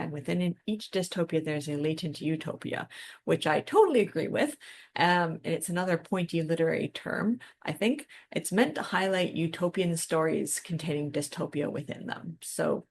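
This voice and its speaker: Lower-pitched woman explaining ideas informally. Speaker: podcast co-host. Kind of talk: literary discussion, casual with quoted passages.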